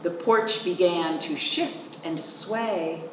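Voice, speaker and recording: A woman reading aloud to young children in an expressive, sing-song way, drawing out words and speaking simply and clearly for them. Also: lowish voice, takes it slowly. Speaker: children's librarian, storytime reader. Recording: roomy echo, distant mic, faint noise.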